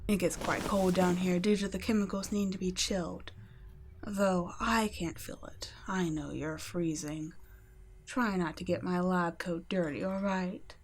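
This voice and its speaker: A woman's voice: fairly low pitch, steady tone, unhurried, slight background noise. Woman playing a whispering vampire scientist. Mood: patronizing. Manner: soft-spoken, patronizing, often whispering. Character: cool, condescending female vampire scientist. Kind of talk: ASMR roleplay audio.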